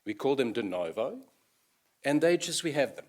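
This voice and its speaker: A man's voice: mid-range voice, sing-song, normal speed, a little mellow. A man explaining genetics in an explanatory lecture style. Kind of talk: science lecture.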